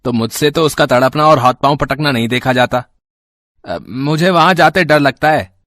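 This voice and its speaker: A man's voice: medium pitch, normal speed, fairly bright tone, no room sound, clean studio sound, close to an everyday voice. A man playing a sullen, selfish young village husband in an audio story, grumbling and sulky. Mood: uneasy. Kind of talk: acted Hindi audio story dialogue.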